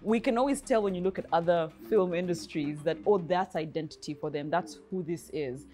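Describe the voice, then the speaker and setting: A woman's voice: fairly low pitch, brisk, warm tone, soft background music. Chatty Ugandan woman interview host. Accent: Ugandan English. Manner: chatty, long framing questions with fillers. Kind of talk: interview questioning. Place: bright indoor studio set with armchairs.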